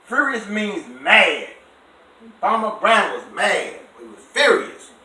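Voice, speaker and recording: A high, bright-voiced man reads a bedtime story for a child. He is expressive and stops to quiz and explain words simply. The room echoes and the microphone sits at a distance. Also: slow. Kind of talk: animated read-aloud with explanations for a child.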